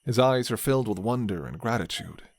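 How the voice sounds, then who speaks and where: A man narrating a scripted fantasy story in the second person, with measured, dramatic storytelling. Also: medium pitch, lively intonation, a little mellow, a little hiss or hum.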